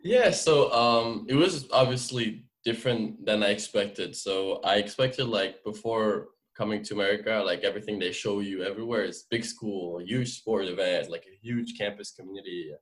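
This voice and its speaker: Podcast guest: man, twenties, French accent, lowish pitch, informal and explanatory. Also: normal speed, few pauses, rough recording. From France. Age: 20s. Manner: informal, explanatory, frequent "like" and "you know". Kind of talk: casual interview storytelling.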